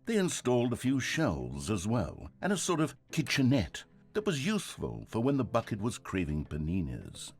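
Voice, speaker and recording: An actor playing a wry, theatrical storybook narrator in a video game. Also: male voice, fairly low pitch, husky, exaggerated voice. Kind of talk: scripted narration.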